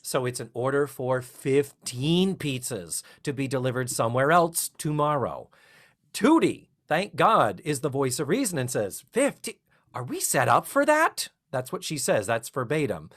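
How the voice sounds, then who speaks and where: Man, podcast host, casual recap, animated delivery, jumps into mock voices. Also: mid-range voice, a little slow. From lives in Central Florida. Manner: animated, acts out lines in raised mock voices.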